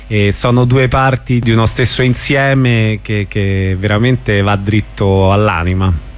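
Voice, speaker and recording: A man's voice: fairly low pitch, flat delivery, a little slow, a little hiss or hum. A man interviewing on radio, offering appreciative comments on the work discussed.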